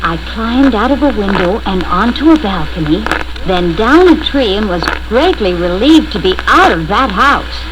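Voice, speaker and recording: A woman narrating a spooky children's read-along story in the first person, deliberate and expressive, on a scratched 1970 record. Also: medium pitch, background noise.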